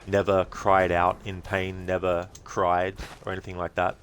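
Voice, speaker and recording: A man giving casual, reflective livestream commentary as a game streamer, speaking haltingly in short phrases. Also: deep voice, monotone, fairly bright tone, slight background noise.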